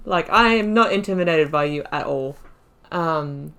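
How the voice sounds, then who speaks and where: A woman's voice: sits low, expressive, slow, a bit bright. A woman hosting a playthrough with casual commentary, reflectively thinking aloud as she goes. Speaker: gaming channel host. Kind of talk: casual let's play commentary.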